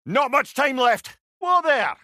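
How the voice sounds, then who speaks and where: A man's voice: very high voice, slow, no room sound, clean studio sound, exaggerated voice. A man playing a witty old talking severed head, a wise counsellor with a Scottish accent and an eloquent, wry manner in a video game. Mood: urgent shouting. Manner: wry, eloquent, animated storytelling. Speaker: companion character in an action game. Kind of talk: video game character voice lines.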